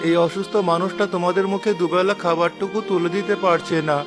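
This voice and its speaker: High male pitch, man as sick poor husband, sorrowful, apologetic, cartoon drama, clear music behind. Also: level tone, quick pace, natural voice. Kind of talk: Bengali cartoon voice acting.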